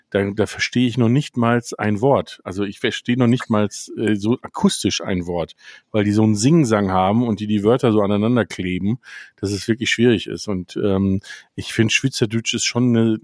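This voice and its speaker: A man's voice: on the low side, a little mellow, no echo, clean studio sound. A man hosting a casual podcast, chatty and teasing, with many hesitant starts. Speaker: podcast host.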